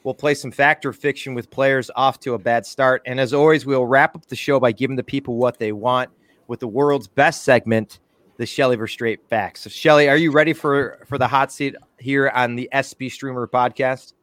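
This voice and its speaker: A man's voice: medium pitch, steady tone, normal speed, fairly bright tone, dry recording. A man hosting a podcast, giving chatty intros with self-mocking jokes. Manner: jokey, self-mocking, chatty announcer patter. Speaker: podcast host. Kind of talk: casual podcast chat.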